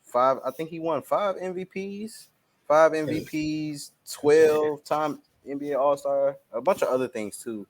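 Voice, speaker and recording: A man's voice: a little high, unhurried. A man co-hosting a podcast, talking in a laid-back, rambling way. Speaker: podcast co-host. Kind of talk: casual chat.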